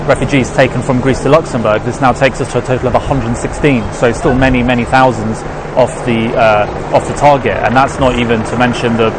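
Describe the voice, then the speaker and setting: A man giving interview comments, speaking quickly with repeated restarts and hesitations. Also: medium pitch, steady tone, noisy background. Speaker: policy analyst interviewee.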